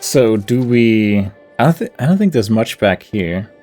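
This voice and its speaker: A man's voice: on the low side, animated, unhurried, darkish tone. A man casually commenting on his own gameplay, thinking aloud in a laid-back way and chuckling now and then. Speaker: gameplay video host. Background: game music.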